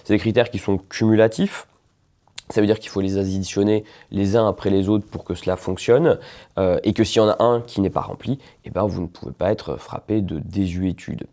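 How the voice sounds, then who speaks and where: A man with a deep, mellow voice and a gravelly edge talks through a legal topic for a video. He explains in a loose, conversational way, pausing on fillers and often checking the listener is following. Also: fairly even intonation. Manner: conversational, many hesitations, checks in with "d'accord". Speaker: lawyer explaining nationality law.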